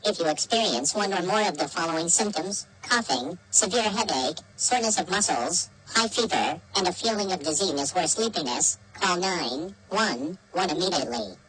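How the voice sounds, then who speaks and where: Lowish-voiced female emergency alert announcer. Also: expressive, normal speed, few pauses, poor audio. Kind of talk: scripted emergency broadcast announcement.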